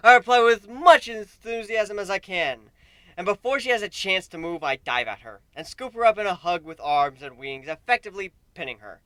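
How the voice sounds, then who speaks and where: An expressive man narrates a story with lively, swinging intonation. His voice is slightly high, clean and bright, with an emphatic dramatic delivery. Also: moderate pace, no echo. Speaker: amateur story reader.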